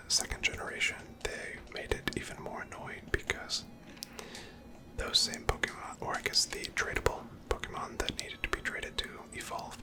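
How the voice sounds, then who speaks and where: A person whispering gentle, chatty ASMR commentary over a video game, breathy and close to the microphone. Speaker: gameplay host.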